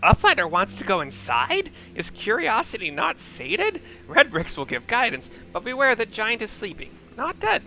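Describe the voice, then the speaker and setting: A man voicing an excitable goblin guard who speaks in stilted phrases with drawn-out cries, for a game. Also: high voice, vocal fry, slight background noise, pitched above the performer's own voice, full character voice. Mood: cautioning.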